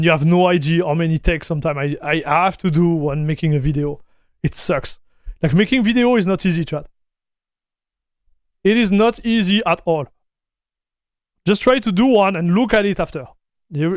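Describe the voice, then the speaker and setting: Male streamer, high voice, smooth and dry, casual chat. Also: normal speed, long pauses, dry recording. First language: French. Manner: chatty, laughs often, talks straight to chat. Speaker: streamer reacting to tech news.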